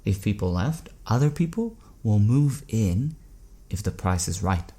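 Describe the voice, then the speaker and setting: Troubled everyman driver, slightly low male voice, wary and inquisitive, natural delivery for audio drama. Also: very animated, measured pace, warm tone. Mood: puzzled. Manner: conversational, wondering, wary. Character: uneasy, questioning man driver, curious and troubled.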